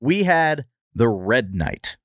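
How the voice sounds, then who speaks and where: A man's voice: medium pitch, big pitch swings, takes it slowly, dry recording, clean studio sound. A man co-hosting a podcast, giving a wry, descriptive review in casual, comedic conversation. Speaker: podcast co-host.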